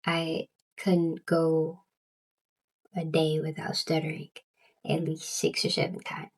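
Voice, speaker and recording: Low-voiced Southern woman who stutters. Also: monotone. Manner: stuttering, halting, many fillers and restarts. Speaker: booktuber. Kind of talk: casual vlog chat.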